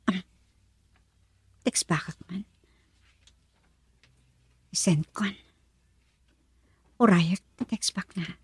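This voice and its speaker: An actress playing a tired, modest young working woman in an Ilocano radio drama, with musing, drawn-out interjections. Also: medium pitch, slightly rough, no echo, heavily acted. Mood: hesitant musing.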